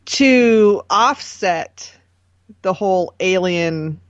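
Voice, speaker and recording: A man's voice: sits high, animated, extremely slow, clear, resonant tone, no echo, muffled recording. A man co-hosting a podcast in casual chat, drawling short, amused and sometimes sweary reactions. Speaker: podcast co-host.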